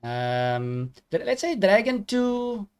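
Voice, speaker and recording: A man from Africa, co-commentating a live online chess match, hesitant with many pauses, reading questions from the chat. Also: high voice, sing-song, clear, resonant tone. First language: Afrikaans. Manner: hesitant, many ums, reads chat aloud.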